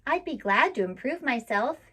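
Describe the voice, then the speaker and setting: A woman's voice: high-pitched, animated, normal speed, bright, forceful tone, slightly rough, pitched above the performer's own voice, heavily acted. A woman voicing a small, shy male elephant in a storybook, speaking earnestly and pleadingly.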